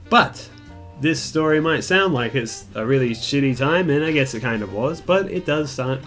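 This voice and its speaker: Young man, mid-range voice, casual storytime chat, candid, rambling, mildly profane, self-deprecating, soft guitar music in the background. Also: mid-range voice. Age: young adult. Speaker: gaming video creator telling a personal story. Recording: music behind the voice. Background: calm guitar music.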